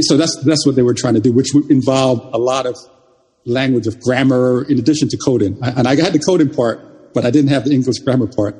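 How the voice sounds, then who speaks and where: An older man from Jamaica, speaking haltingly in an oral history interview, with many restarts and fillers. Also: mid-range voice, darkish tone, husky. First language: Jamaican Patois. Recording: long hall reverb.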